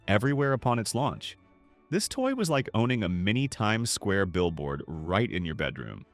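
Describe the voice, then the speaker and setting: A man reading a scripted, nostalgic documentary narration in a measured, polished way. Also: lowish voice, a bit bright. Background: background music.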